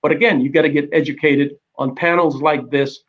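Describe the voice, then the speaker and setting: A man's voice: on the high side, fairly even intonation. Man panelist with dull webcam sound. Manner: measured, explanatory, adds "right?" to points. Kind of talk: panel discussion on a live video call. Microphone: video call webcam.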